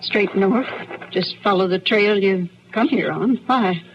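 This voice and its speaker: A woman's voice: medium pitch, unhurried, close to an everyday voice. A woman playing a proud, plain-spoken frontier farm wife with a rural American accent in an old radio western. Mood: resigned, earnest. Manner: plain-spoken, folksy, firm. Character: proud, strong-minded frontier farm wife fighting for her husband. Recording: muffled old radio broadcast, faint noise.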